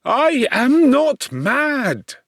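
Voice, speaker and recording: A man voicing an anguished, guilt-ridden young gentleman in an audiobook, declaiming with impassioned, drawn-out words. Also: very high voice, wildly swooping pitch, dry recording, clean studio sound, voice pushed up, heavily acted.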